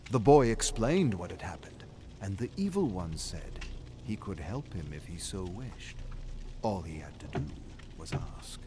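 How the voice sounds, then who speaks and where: A man's voice: low-pitched, big pitch swings, measured pace, background noise. A man streaming a game, reading lore passages aloud and breaking off to react casually and swear freely. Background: game music.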